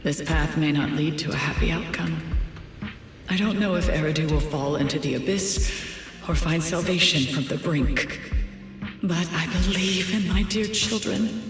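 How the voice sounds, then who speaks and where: A woman playing a dignified, resolute mentor heard as a memory in a game cutscene, solemn and measured, with reverb and music. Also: deep voice. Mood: resolute, hopeful. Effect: heavy reverb for a memory. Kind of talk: dramatic game cutscene acting. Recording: heavy echoing reverb, music behind.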